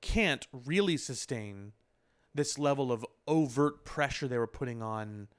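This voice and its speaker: A man with a mid-pitched voice talks as a podcast co-host. He discusses pop culture casually but at length, giving opinions in fairly elaborate wording. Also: expressive, measured pace, clean tone.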